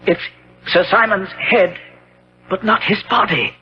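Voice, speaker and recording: An actor playing a horrified gentleman in a gothic 1970s radio drama, theatrical and emphatic, on a dull hissy recording. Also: sits high, slow, soft background music. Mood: shocked.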